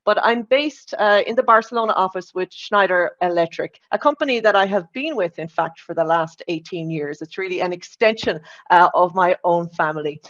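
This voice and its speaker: A woman's voice: on the low side, moderate pace, hardly any pauses, a bit bright, dull audio. A woman in her forties with an Irish accent, giving a personal, conversational conference talk over a remote link. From Ireland. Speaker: conference speaker.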